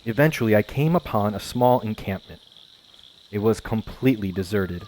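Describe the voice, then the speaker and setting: A man narrating a first-person adventure story in a measured, calm storytelling manner. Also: on the low side, slight background noise, low-quality recording. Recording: faint music behind voice.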